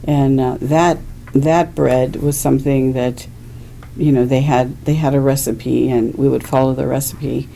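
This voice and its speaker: A woman with a low, gravelly voice and a mellow, dark tone, whose first language is Greek, talking through family memories at an easy pace, full of "you know" and "uh". A faint hiss of background noise sits under her voice. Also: steady tone, rough recording. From Weirton, West Virginia. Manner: unhurried, with frequent fillers and "you know". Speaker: oral history interviewee. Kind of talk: relaxed personal recollection.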